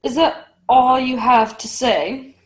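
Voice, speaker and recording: A woman's voice: high voice, slow. A woman in a radio play acting a stern, defiant male ship's captain with a formal, emphatic manner.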